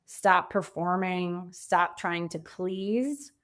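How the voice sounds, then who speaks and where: A woman speaking as a coach and podcast guest, reflective and conversational, with emphatic phrasing and deliberate pauses. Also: on the low side, a bit bright. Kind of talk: conversational interview.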